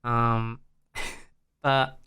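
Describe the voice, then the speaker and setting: Sarcastic young man, clear voice. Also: medium pitch, little pitch movement, extremely slow, bright, forceful tone. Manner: blunt, sarcastic, swears casually, mocks the show with exaggerated quotes. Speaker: podcast co-host. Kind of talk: casual chat.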